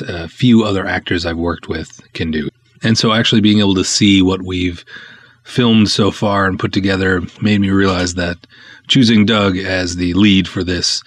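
A man with a low, husky voice talks solo as a podcast host. His delivery is casual and conversational, with enthusiasm and emphatic stresses on key words. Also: a bit bright. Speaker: podcast host, film writer-director.

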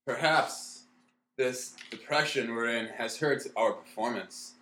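A man plays a worried producer answering his boss in an old-time radio play. His mid-pitched voice is earnest and measured, and the room echoes. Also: sharp tone, a little hiss or hum. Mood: worried, deferential. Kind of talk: 1930s radio play acting.

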